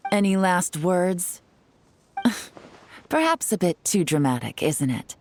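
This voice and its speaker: An actress playing a cool, sardonic young female detective in a video game, composed, measured and wry. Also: on the low side, sing-song, a little hiss or hum. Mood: cold contempt. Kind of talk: dramatic game voice acting.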